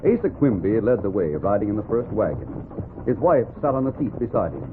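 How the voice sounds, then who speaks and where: A man's voice: fairly low pitch, very animated, brisk, noisy background. An American man narrating a 1940s radio drama as announcer in a grand, theatrical manner. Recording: tinny 1940s radio recording. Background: music.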